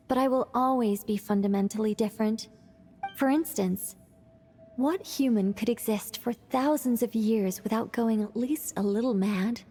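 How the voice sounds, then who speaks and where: A woman's voice: on the high side, darkish tone. A woman voicing an ageless female mage in a game cutscene, a fallen angel who tells stories in a theatrical, musing, self-assured way with wry wistfulness. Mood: musing, wistful. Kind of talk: scripted game cutscene dialogue. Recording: background music.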